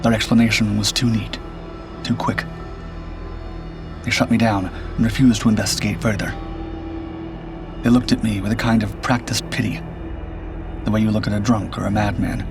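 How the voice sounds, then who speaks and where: A man narrating a scripted first-person suspense story in a measured, grave storytelling manner. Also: fairly low pitch, little pitch movement. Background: background music.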